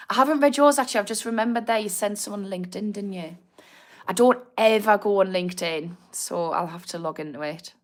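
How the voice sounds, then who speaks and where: Chatty Newcastle woman on a livestream. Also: mid-range voice. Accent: Geordie (Newcastle). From Newcastle, England. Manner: chatty, informal, reads viewer comments aloud, laughs easily. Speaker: livestream host on addiction recovery. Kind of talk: casual live-stream chat reading comments.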